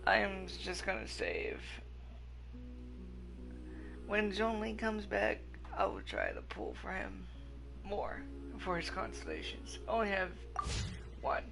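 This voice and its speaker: A male game streamer with a fairly high, creaky voice chats casually to his viewers. He rambles hesitantly, and loud game music plays behind him. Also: lively intonation, slow pace, long pauses, rough recording. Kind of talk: casual livestream commentary.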